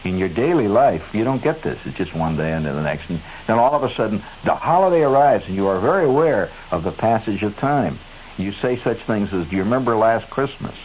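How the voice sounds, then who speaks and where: A man's voice: on the low side, big pitch swings, fairly quick, muffled recording. Wry, rambling American radio man. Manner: wry, digressive, chatty asides to studio crew. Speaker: radio host. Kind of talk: rambling late-night radio monologue. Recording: hissy 1970s AM radio broadcast.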